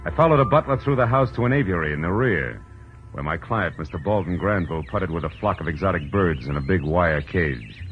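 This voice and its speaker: An American actor playing a wry, world-weary private detective in clipped 1940s radio style. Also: male voice, sits low, lively intonation, brisk. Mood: wry narration. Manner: wry, clipped, hardboiled delivery. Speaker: lead actor and narrator. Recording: dull old radio recording, music behind.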